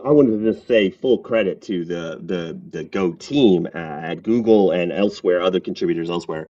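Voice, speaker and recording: Man, podcast guest, animated and emphatic, dull-sounding audio, set back from the mic. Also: low-pitched, no echo, far from the mic. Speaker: podcast guest, software developer. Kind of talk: casual interview chat.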